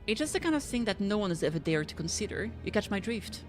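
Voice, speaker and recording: A woman's voice: medium pitch, very animated, quick pace, soft background music. A young woman streaming a game, reading the subtitles aloud with playful chatter. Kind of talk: casual gameplay commentary.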